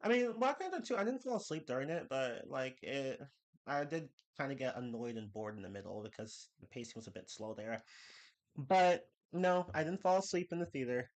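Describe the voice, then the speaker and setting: A man's voice: medium pitch, animated. Rambling congested young man streaming. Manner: rambling, restarts and laughs, quick bursts. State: slightly congested from a cold. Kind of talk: casual live-stream chat.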